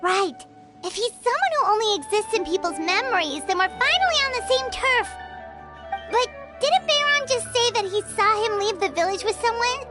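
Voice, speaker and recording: An actress voicing a small, excitable floating female companion creature in a game, bubbly and exclamatory. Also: very high voice. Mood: excited. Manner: bubbly, exclamatory, quick to jump to conclusions. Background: game background music.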